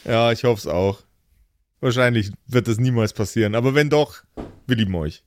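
A man with a medium-pitched voice runs an improvised tabletop game. He moves between loose narration and slangy, pun-filled banter with the others. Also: moderate pace, a little hiss or hum. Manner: jokey, punning, slangy. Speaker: game master of an improvised pen-and-paper audio play. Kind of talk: casual improvised narration and joking table talk.

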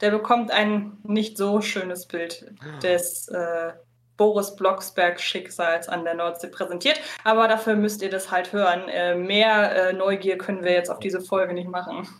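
A woman's voice: fairly low pitch, fairly even intonation, measured pace, a little hiss or hum. A woman in a casual podcast conversation, talking in a wordy, explanatory way. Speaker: podcast co-host appearing as guest.

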